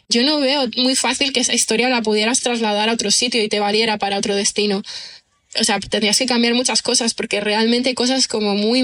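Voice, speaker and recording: Woman, bit high voice, bright and tense, keeps saying digamos and no?. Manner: thoughtful, many fillers like "digamos" and "¿no?". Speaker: writer and screenwriter guest. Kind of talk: relaxed podcast conversation.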